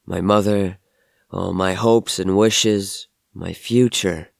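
A man's voice: low-pitched, fairly even intonation, gravelly, dry recording, studio quality, heavily acted. An actor playing a downcast, bewildered young man held captive in an audio drama, speaking hesitantly. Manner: hesitant, halting.

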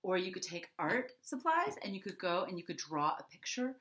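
A middle-aged woman with a slightly low voice talks to young children as an author would. Her delivery is expressive and conversational, and she leans on pauses for emphasis as she reads or explains. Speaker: children's author reading her book and giving a writing prompt. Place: small indoor home room.

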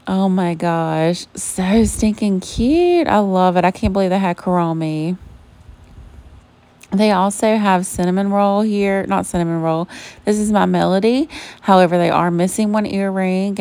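A woman from Georgia with a Southern accent, chatting warmly to viewers as she browses a store on a handheld phone. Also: lowish voice, normal speed, vocal fry. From Savannah, Georgia, US. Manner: gushing, chatty, addresses viewers as y'all. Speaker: shopping vlogger. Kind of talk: casual shop-with-me chat. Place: inside a retail store. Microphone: handheld phone camera.

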